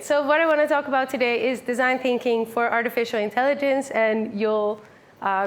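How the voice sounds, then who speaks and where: Woman, twenties, raised in the Netherlands; upbeat casual presenter, bright higher register, live room. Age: 20s. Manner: upbeat, chatty, many fillers like "like" and "um". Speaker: meetup speaker. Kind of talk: casual conference talk. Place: full room with a live audience.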